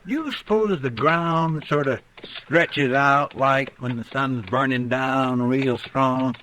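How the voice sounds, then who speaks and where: An actor playing a folksy, simple-minded frontier sidekick with an American Western drawl in a radio western. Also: male voice, medium pitch, slow pace, fairly bright tone. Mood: weary, musing. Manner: folksy, hesitant, rambling. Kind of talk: old-time radio western acting. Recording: noisy vintage radio recording.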